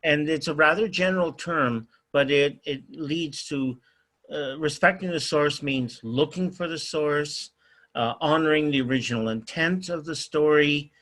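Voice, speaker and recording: Bright-voiced man, earnest folklore collector. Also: fairly high pitch, measured pace. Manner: earnest, hesitant with um. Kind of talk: casual remarks on a video call. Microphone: video call.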